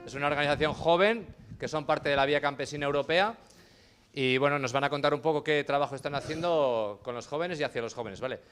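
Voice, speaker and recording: A man's voice: fairly high pitch, fast talker, fairly bright tone, smooth. A man moderating a panel, introducing each speaker in a loose, chatty way with many fillers.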